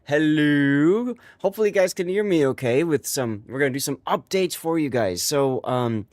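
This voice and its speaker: A man's voice: on the high side, lively intonation, normal speed, a little mellow, dry recording. A man with a stuffy, congested voice, hosting a live stream and chatting casually with his viewers, rambling with many fillers.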